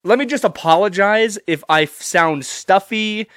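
A man's voice: sits high, unhurried, fairly bright tone, slight background noise. A young man hosting a solo podcast, stuffy and congested from allergies, talking casually and rambling with loose, profane humor. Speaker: podcast host.

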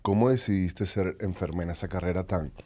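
A man hosting an interview, asking questions in a halting way and often restarting his sentences. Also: low voice, warm tone, slightly rough. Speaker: podcast host.

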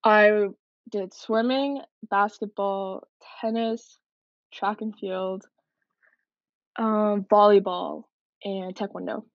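A woman's voice: medium pitch, fairly even intonation, takes it slowly, dry recording, dull audio. A young woman from Canada, a military cadet, answering interview questions in an earnest, reflective way. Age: young adult.

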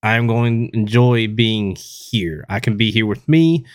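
Slow, deliberate man, dry sound. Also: fairly low pitch, clear, resonant tone, no echo. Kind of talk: casual podcast chat.

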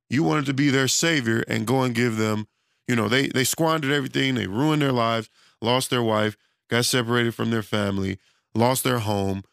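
A man talking off the cuff with a gravelly, fry-tinged voice that is going hoarse as he loses it. The tone underneath stays smooth and resonant. The recording is close and dry, and he rambles and restarts his thoughts in a relaxed, conversational way. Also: medium pitch, no echo. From United States. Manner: loose, rambling, conversational, with many restarts and fillers. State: losing his voice. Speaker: self-employed studio owner. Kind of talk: casual monologue.

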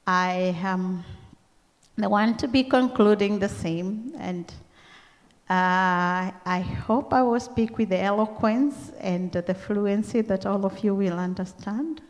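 Slow Kenyan woman preaching in a hall. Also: mid-range voice. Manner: slow, deliberate, earnest, with frequent pauses. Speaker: guest preacher. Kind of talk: sermon. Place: large church hall. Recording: long church-hall reverb.

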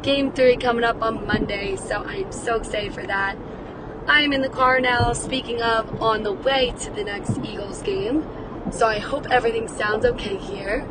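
Upbeat woman, slightly raspy, in a car. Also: a little high, steady tone, moderate pace. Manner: upbeat, encouraging. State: raspy voice from cheering. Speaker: podcast host. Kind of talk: wellness podcast, guided breathing. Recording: car noise in background.

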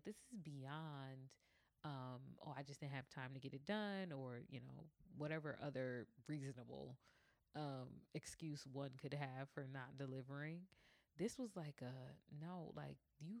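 Woman w a low gravelly voice just talking candidly on her podcast, dry close mic. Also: a little mellow, no echo. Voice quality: deep voice. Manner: candid, conversational, acts out quoted dialogue. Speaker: podcast host. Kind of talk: personal storytelling monologue.

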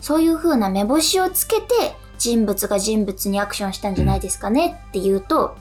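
A woman's voice: mid-range voice, very animated, a little fast, darkish tone, soft background music. A woman co-hosting a casual radio chat, speaking politely and often acting out examples in reported speech. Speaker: radio show co-host, stage actor.

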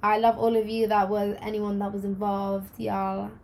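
Young woman, podcast co-host, croaky, recovering voice, playful. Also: mid-range voice, monotone, normal speed, a little mellow. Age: 20s. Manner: laughing, teasing banter. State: croaky after the flu. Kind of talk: casual chat.

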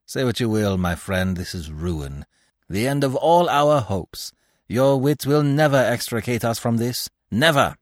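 Storybook suitor played by a man: young, feeble, woeful, foolish, middling pitch, dry recording. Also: sing-song, moderate pace, hardly any pauses, slightly rough, no room sound. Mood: limp despair. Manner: limp, woeful. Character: foolish, limp, despairing young male lover.

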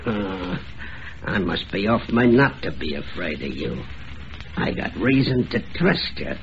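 An actor playing a boastful, cruel hobo murderer, drunk and wary, with rough slangy American speech in an old-time radio drama. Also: male voice, sits low, sing-song, measured pace, exaggerated voice. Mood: uneasy. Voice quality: rough uneducated slangy diction. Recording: dull, noisy old radio recording.

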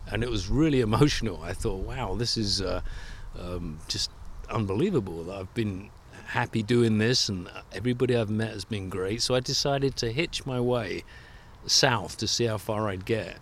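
A man's voice: fairly low pitch, a little hiss or hum. An older man with an English accent, a guest author telling stories of his travels with self-deprecating chuckles. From England. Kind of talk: relaxed storytelling interview.

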